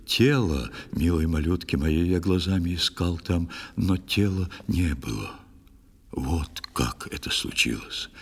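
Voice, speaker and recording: An actor playing a sorrowful, pious old fisherman who tells his tale in expressive verse in an audio play. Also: low-pitched, full character voice. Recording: long studio reverb over music.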